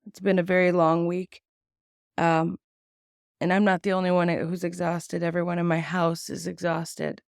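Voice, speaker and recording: A woman with a fairly low voice talks through the news in a blunt, casual way, swearing often and filling pauses with ums. She sounds sick and worn out, with a slight rasp. The recording is close and dry. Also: little pitch movement, dry recording. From United States. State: sick and tired, a bit raspy. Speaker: podcast host. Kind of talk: casual opinion commentary on news.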